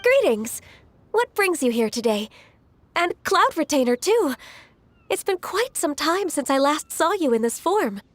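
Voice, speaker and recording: A woman's voice: high voice, big pitch swings, a little slow. A woman voicing a polite, dutiful young secretary in a video game, courteous and earnest. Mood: gentle, earnest.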